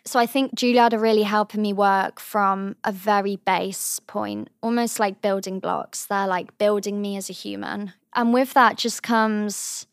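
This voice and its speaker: Earnest young Englishwoman with a high voice. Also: unhurried, few pauses, clear, resonant tone, no room sound, studio quality. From England. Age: young adult. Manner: thoughtful, earnest, softly trailing phrases. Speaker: interview guest, drama student. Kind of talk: reflective interview answers.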